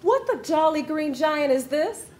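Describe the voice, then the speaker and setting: A woman playing a bossy, sharp-tongued, mocking mission control boss in a comic screenplay table read. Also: sits high, lively intonation, measured pace. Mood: mocking disbelief. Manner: brash, scolding, theatrical. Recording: table read with background noise.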